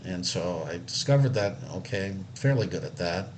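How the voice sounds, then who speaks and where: A man's voice: deep voice, lively intonation, normal speed, darkish tone, a little hiss or hum. A man from Israel telling his life story casually, rambling a little with many fillers and restarts. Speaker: accessibility analyst.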